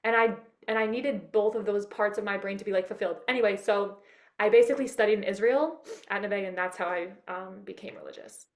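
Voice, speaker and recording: A woman's voice: mid-range voice, a little fast. Chatty woman, roomy echo. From Manhattan, New York. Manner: eager, rambling, many fillers and restarts. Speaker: interview guest. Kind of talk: casual personal storytelling.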